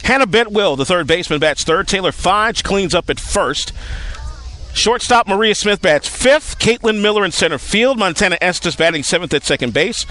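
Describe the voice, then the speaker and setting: A man calling live softball play-by-play as a radio-style sports announcer, outdoors at a ballfield. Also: high-pitched, animated, moderate pace.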